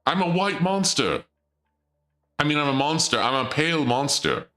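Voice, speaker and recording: A young man streaming and chatting casually, rambling and joking in a theatrical dark-lord persona. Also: fairly high pitch, sing-song, normal speed, faint music behind.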